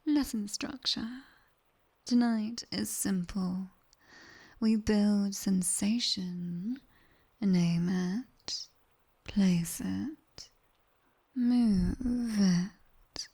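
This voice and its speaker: A woman's voice: mid-range voice, heavily acted. Woman as a whispering British nightmare demoness tutor. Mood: stern, teasing. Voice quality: breathy, soft whisper. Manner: slow, deliberate, teasing, dryly stern. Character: sharp-tongued nightmare demoness tutor, teasing and commanding. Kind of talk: whispered ASMR roleplay. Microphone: close binaural microphone.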